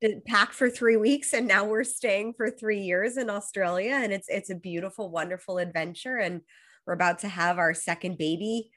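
A woman's voice: medium pitch, moderate pace, a bit bright. A woman in her thirties from Los Angeles with an American accent, a coach speaking as an interview guest, talking fluently and upbeat while getting over a cold. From Los Angeles, USA. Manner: fluent and upbeat, restarts phrases often. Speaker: guest, high performance coach. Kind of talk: casual interview talk.

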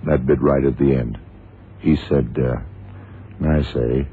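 An actor in an old radio drama playing a shrewd, calm investigator who speaks tersely and with quiet command. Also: male voice, rumbling low, slow, breathy edge, exaggerated voice. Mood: terse, focused. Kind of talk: 1960s-70s radio drama acting. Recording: noisy, tinny old radio broadcast.

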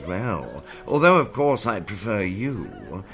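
Man doing a flamboyant socialite, teasing, theatrical, slow and lilting, music behind. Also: on the low side, huge pitch swings, creaky, a bit bright, full character voice. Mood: gushing. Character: flamboyant middle-aged male socialite matchmaker.

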